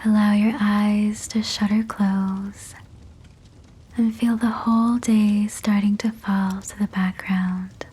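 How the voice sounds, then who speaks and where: A woman guiding a sleep meditation in a breathy whisper, soothing and gentle, with measured phrasing. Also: medium pitch, little pitch movement, poor audio. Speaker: sleep hypnosis guide.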